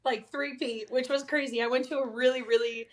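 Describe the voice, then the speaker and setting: A young woman from Colorado, a college soccer player, talking casually as an interview guest; her voice is raspy while it recovers from being lost, and she laughs often. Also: sits high, steady tone, brisk, slight background noise. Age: young adult. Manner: upbeat, laughs often, says "like" a lot.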